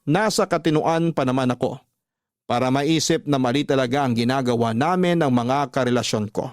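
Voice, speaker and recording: A man's voice: fairly high pitch, no echo, studio quality. A man with a Filipino accent hosting a radio drama, reading a scripted first-person letter and commentary as storyteller. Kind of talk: scripted narration of listener letters.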